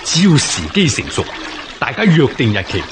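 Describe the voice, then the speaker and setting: An actor with a Hong Kong Cantonese accent playing a resolute, formal rebel general of ancient China in a radio drama. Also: male voice, on the high side, very animated, normal speed, noisy background. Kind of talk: historical radio drama acting.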